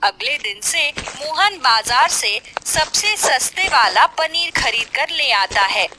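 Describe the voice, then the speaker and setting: A woman's voice: sits high, big pitch swings, takes it slowly, rough recording. A woman reading scripted storybook narration for a cartoon tale. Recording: music bed behind the voice.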